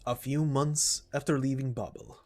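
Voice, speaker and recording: A man's voice: mid-range voice, fairly even intonation, normal speed, darkish tone. A man reading a scripted story in a dramatic narrating style, as an amateur voice actor. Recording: music behind the voice. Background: background music.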